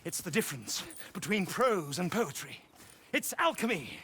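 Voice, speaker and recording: Game role: florid British showman, high and very animated, desperate conman with grand stage airs. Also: normal speed, slightly rough, exaggerated voice. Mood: grandiose. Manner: pompous, melodramatic, florid. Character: flamboyant, theatrical showman in woman's stage costume, desperate conman. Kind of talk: video game cutscene acting.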